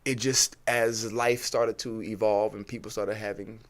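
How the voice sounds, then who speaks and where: Low-voiced middle-aged man telling stories. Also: level tone, unhurried. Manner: emphatic, repeats words for effect. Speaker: interview guest, actor and former teacher. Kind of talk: animated personal storytelling.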